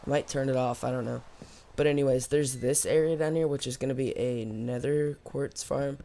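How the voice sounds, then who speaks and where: Slightly hoarse, gravelly male gamer. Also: mid-range voice, steady tone, normal speed, warm tone. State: slightly hoarse after losing voice from yelling. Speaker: host of a gaming video. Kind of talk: casual let's play commentary.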